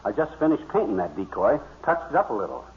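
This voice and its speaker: An actor playing an excitable, boastful middle-aged American husband in a 1950s radio comedy, with a folksy, wordplay-heavy patter. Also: male voice, fairly low pitch, quick pace. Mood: proudly scheming. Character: excitable, boastful husband, eager amateur duck hunter. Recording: muffled, noisy 1950s radio recording.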